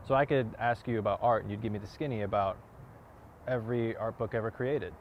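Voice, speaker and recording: A man performing a dramatic monologue as a wounded, earnest man confronting someone, halting and repeating words for emphasis. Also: fairly low pitch, close to an everyday voice. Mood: quiet, reproachful. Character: wounded, earnest man confronting a cocky youth, grieving lover. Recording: dull lo-fi audio, clear outdoor noise.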